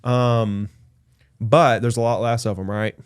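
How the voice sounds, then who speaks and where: A man's voice: medium pitch, fairly even intonation, measured pace, clear, resonant tone, no echo, clean studio sound. A man co-hosting a casual podcast chat, talking loosely with lots of fillers and restarts. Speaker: podcast co-host, real estate agent.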